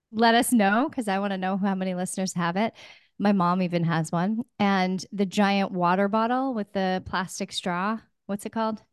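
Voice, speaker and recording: A woman's voice: medium pitch, clear, resonant tone, dry recording, clean studio sound. A North American woman co-hosting a podcast, chatty and emphatic, talking casually with a friend. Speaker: podcast co-host.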